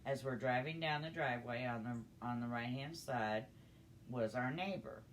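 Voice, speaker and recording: An older woman telling a personal story in a conversational, halting, unhurried way. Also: very deep voice, a little hiss or hum. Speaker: storyteller grandmother.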